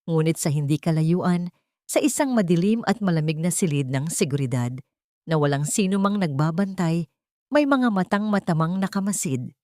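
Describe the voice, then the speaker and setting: A woman's voice: fairly low pitch, darkish tone, dry recording, clean studio sound. A woman reading a scripted dramatic story as a storyteller.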